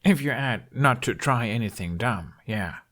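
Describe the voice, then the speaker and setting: A man's voice: mid-range voice, lively intonation, moderate pace, a bit bright. A man voicing a sensible, cautious young man with a dry, reassuring manner in an audiobook story. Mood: earnest, dry. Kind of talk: audiobook character voice.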